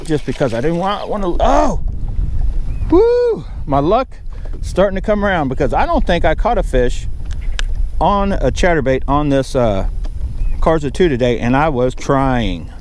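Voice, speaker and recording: A middle-aged man chatting casually as he fishes from a small boat on a river, rambling along and getting excited when a fish bites, recorded on an action camera outdoors. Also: on the high side, a little slow, a little hiss or hum. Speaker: fishing vlogger. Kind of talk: casual running commentary while fishing. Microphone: body-mounted action camera.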